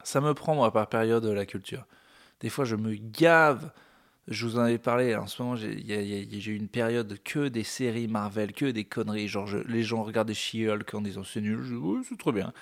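A man's voice: fairly low pitch, steady tone, brisk. A man hosting a casual solo podcast, rambling through topics with ironic, jokey asides. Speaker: podcast host.

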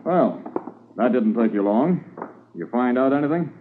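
A man playing a brisk, authoritative senior police officer in a 1950s radio drama, measured and questioning. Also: medium pitch, animated, a little hiss or hum, natural voice. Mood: inquiring. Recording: tinny narrow-band old radio recording.

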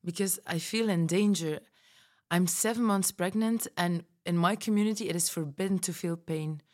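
A woman's voice: lowish voice, normal speed, lightly acted. A woman playing a frightened pregnant mother who has fled, telling her backstory earnestly on a fiction podcast. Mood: earnest, fearful.

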